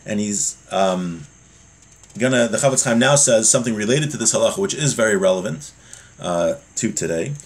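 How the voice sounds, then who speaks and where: A man's voice: on the low side, animated, moderate pace. A man giving a religious lesson, reading Hebrew phrases aloud and then explaining them plainly in English. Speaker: religious teacher.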